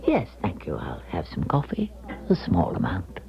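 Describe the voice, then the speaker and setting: Very deep-voiced old clairvoyant. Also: female voice, huge pitch swings, full character voice. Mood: confiding, earnest. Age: older. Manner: theatrical, deliberate, hushed breathy phrase endings. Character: elderly Russian émigré woman, former clairvoyant, earnest and mysterious. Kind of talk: 1970s radio drama acting, confiding monologue. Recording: muffled 1970s radio broadcast recording.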